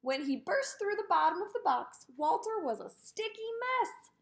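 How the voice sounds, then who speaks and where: A high-voiced woman reads a children's picture book aloud. Her delivery is expressive and swoops widely up and down, turning sing-song on lists and refrains. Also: moderate pace. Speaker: storybook reader.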